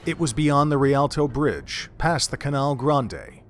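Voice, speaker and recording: Solemn male narrator over clear background noise. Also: mid-range voice, expressive, unhurried. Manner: solemn literary storytelling. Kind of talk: dramatic scripted narration.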